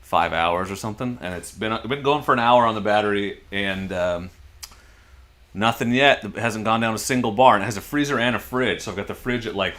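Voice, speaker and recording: A man in his forties with a lowish voice hosts a relaxed livestream from his garage. He chats easily and reads out viewer comments as he answers them, close to a desk microphone. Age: 40s. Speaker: livestream host. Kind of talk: casual livestream chat. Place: home garage.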